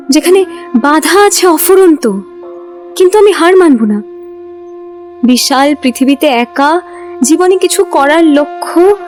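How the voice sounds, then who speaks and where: A woman plays a determined young woman telling her own story. Her voice is high and heartfelt, in dramatic scripted narration. Music plays clearly behind her. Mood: defiant.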